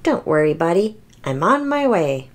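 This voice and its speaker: A woman voicing an anxious, boastful but loving little toy T-rex in a picture book, with exaggerated, expressive delivery. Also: on the low side, normal speed. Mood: brave, reassuring. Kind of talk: children's picture book read-aloud.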